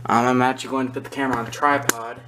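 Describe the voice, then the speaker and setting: A hobbyist collector talking through items on camera in a casual, halting way, often trailing off between points. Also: medium pitch, little pitch movement, heavy background noise. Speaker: collector presenting a hobby video. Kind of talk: casual product walkthrough. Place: indoors in a small room at home. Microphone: handheld camera or phone near the speaker.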